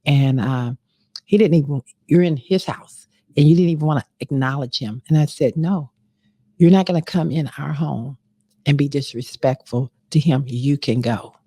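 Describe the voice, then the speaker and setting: A woman's voice: extremely low pitch, moderate pace, dark tone, no room sound, studio quality. A woman hosting a candid talk show, emphatic and encouraging, often repeating herself for weight. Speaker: show host.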